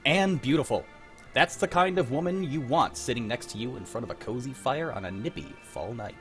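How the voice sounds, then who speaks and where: A man's voice: medium pitch, expressive, brisk, faint music behind, natural voice. A man playing an old-time radio announcer with sly, cozy sponsor patter. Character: folksy, smooth-talking old-time radio announcer pitching wine.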